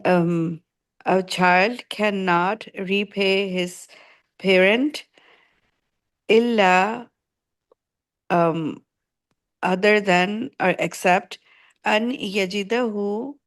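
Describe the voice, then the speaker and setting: Slow, careful woman student reading aloud. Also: mid-range voice, fairly even intonation.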